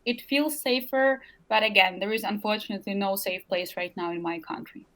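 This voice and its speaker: A woman's voice: medium pitch, brisk, a bit bright. A young adult woman from Ukraine with a Ukrainian accent, speaking earnestly in an interview and often searching for words. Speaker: interview guest. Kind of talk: remote interview.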